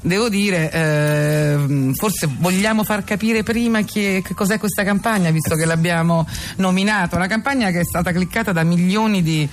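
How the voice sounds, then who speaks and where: A woman's voice: on the low side, normal speed, few pauses, slight background noise. A woman hosting a radio interview in a casual, chatty style, playful and teasing with her guests. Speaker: radio host. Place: radio studio.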